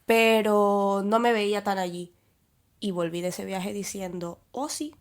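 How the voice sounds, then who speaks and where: A young woman from Venezuela with a Venezuelan Spanish accent, talking through her thoughts in a relaxed podcast chat, with pauses and restarts. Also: medium pitch. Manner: reflective, thinks aloud with fillers and restarts. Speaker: podcast guest. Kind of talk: casual conversation.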